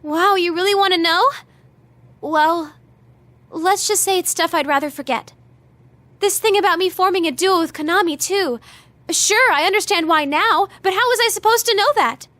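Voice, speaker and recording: A perky teenage pop idol girl for a video game. The voice is extremely high and bright, with a dry studio sound. The delivery is chatty, gossipy and emphatic. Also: normal speed, no room sound. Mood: exasperated. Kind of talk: animated video game voice acting.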